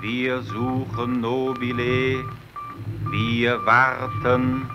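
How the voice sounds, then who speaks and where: An actor playing a grave radio operator, calling out in a measured, formal monotone in an old radio play. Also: male voice, medium pitch, music in the background. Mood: grave, urgent. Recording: muffled old radio recording.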